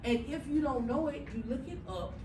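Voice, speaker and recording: A woman's voice: high voice, animated, fairly quick, noisy background. A woman teaching a middle school class, emphatic and checking in with students often. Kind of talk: classroom instruction. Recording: echoey classroom, mic far off.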